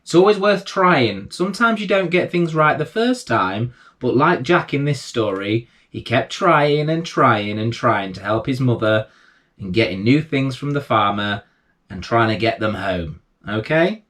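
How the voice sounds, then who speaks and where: Guy reading kids a bedtime story, real slow, voice goes up and down a lot, gentle. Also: mid-range voice, expressive. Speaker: bedtime story reader and host. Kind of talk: storytelling read aloud for children.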